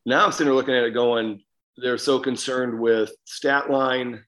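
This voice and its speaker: A man's voice: medium pitch, steady tone, normal speed, fairly bright tone. A man co-hosting a sports podcast, giving blunt, opinionated takes in casual chat. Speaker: podcast co-host, former player.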